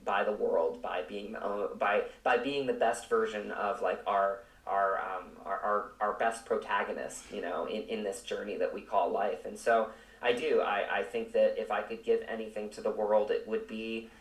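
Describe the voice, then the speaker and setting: Man, low pitch, earnest, halting delivery, reflective interview talk, echoey room. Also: steady tone. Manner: earnest, halting, repeats words while searching for phrasing. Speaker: actor, podcast guest. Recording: roomy, echoing, distant from mic.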